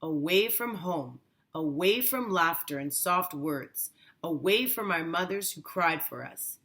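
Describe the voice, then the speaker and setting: A woman voicing a sad, gentle elderly grandfather in a storybook, in a slow, subdued, sorrowful manner. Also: fairly low pitch, expressive. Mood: sad, resigned.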